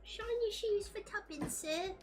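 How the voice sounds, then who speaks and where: A woman voicing a mock old-timey British street hawker in a deliberately bad British accent, high and sing-song. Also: soft background music. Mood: playful.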